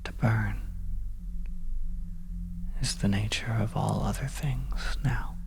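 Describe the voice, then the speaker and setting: Someone performing a somber, cryptic narrator in a horror ASMR monologue, whispering hesitantly and broodingly to a fallen dragon, with long pauses. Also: mid-range voice, creaky. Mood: somber, hushed. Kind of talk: horror ASMR, second-person scripted monologue. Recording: steady ambient noise under whispering. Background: oppressive ambience.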